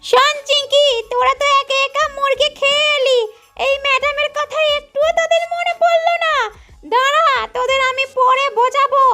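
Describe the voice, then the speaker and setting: A woman voicing a bossy, greedy female ghost schoolteacher in a children's cartoon, with drawn-out, exaggerated delivery. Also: very high-pitched, steady tone. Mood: scolding. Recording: loud music behind the voice.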